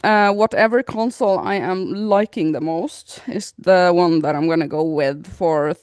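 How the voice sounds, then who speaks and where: A woman's voice: fairly low pitch, normal speed, a little mellow, smooth, no echo, studio quality. A woman from Norway co-hosting a casual gaming podcast, chatting in an informal, emphatic way. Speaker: podcast co-host, gaming YouTuber.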